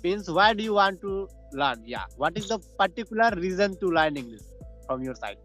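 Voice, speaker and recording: Young man, from Bihar, native Hindi speaker, bright high voice, hesitant, self-correcting, video call. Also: big pitch swings, moderate pace, soft background music. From Bihar, India. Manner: hesitant, self-correcting, laughs often, repeats "you can say". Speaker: English learner in practice call. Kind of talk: casual English practice conversation on a video call.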